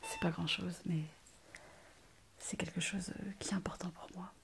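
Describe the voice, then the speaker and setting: A woman's voice: on the low side, fairly even intonation, normal speed, vocal fry, a bit bright. A woman playing a tender, regretful mother and doctor in a whispered ASMR roleplay, hesitant and earnest.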